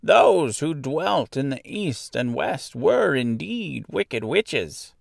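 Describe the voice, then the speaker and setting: A little old woman from a storybook, a good witch with a calm, kindly temper, acted out by a man. The delivery is slow and stretched out, swinging widely in pitch, with some creak in the voice. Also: no echo, studio quality, exaggerated voice. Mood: patient, assured. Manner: deliberate, stretched-out, explanatory phrasing. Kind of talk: storybook character voice.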